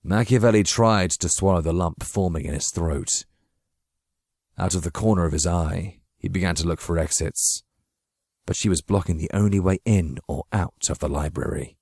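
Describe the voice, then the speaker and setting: A man narrating a dramatic audiobook from a script, with measured, literary storytelling. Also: deep voice, a little mellow, husky, no room sound, studio quality.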